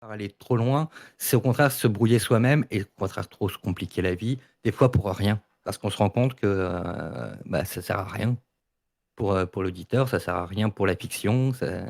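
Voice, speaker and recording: A man's voice: fairly low pitch, normal speed, creaky, a little mellow. A man in a casual discussion, explaining his point insistently and repeating his phrases. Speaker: debate participant.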